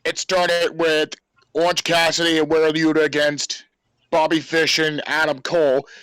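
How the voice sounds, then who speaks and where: A man with a bright, fairly high voice co-hosts a wrestling podcast. His tone is sharp and his delivery is casual and conversational. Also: little pitch movement, normal speed, clean tone, no echo, rough recording. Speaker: podcast co-host. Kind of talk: casual chat about pro wrestling.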